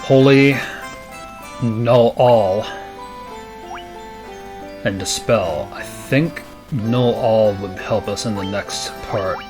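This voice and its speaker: A man with a fairly low voice talks through his moves as he plays, plain and matter-of-fact. Game music runs clearly beneath his commentary. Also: very slow, pauses often. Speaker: let's play host playing the game. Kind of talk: casual gameplay commentary.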